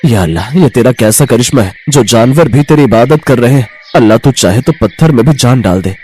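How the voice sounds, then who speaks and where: A man's voice: medium pitch, expressive, brisk, dark tone, slightly rough. Man acting a weary, devout poor father. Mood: reverent. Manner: earnest, solemn. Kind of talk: children's animated moral story acting.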